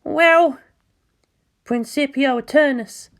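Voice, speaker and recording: A woman's voice: high voice, takes it slowly, creaky, voice pushed up, heavily acted. A woman voicing a nervous, polite, self-doubting teenage girl mecha pilot in a story, with a hesitant manner. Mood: nervous, uncertain. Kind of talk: acted story dialogue.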